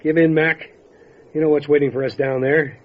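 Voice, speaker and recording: A man playing a calm, dry, matter-of-fact country sheriff in an old-time radio drama. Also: fairly high pitch, normal speed, natural voice. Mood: firm, patient. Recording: dull lo-fi radio drama recording, faint noise.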